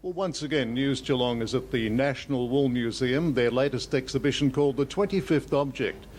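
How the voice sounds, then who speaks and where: A man's voice: medium pitch, a little fast, darkish tone, a little hiss or hum. A man reporting and interviewing for local TV news in a conversational, folksy way.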